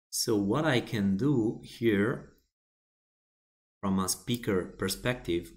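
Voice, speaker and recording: A man whose first language is Italian, walking through a software feature step by step in a plain explaining manner with frequent restarts. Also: lowish voice. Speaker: software tutorial presenter. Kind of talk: screen-recorded software walkthrough.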